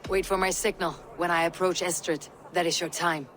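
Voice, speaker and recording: A woman playing a steady, commanding Viking warrior leader in a video game, speaking in a measured, resolute way. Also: fairly low pitch, slight background noise, close to an everyday voice. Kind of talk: dramatic video game acting.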